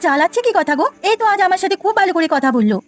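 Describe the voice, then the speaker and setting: A kind young village wife from a cartoon, voiced by a woman. She is earnest and trusting, with a high, lively, quick voice over music. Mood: earnest, defensive. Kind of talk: Bengali village cartoon voice acting.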